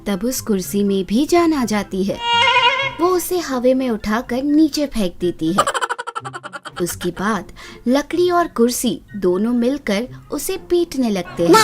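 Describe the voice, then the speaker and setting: Woman narrating a kids fairy tale, animated high voice, slow pace, music playing clearly behind her. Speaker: storyteller. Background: background music.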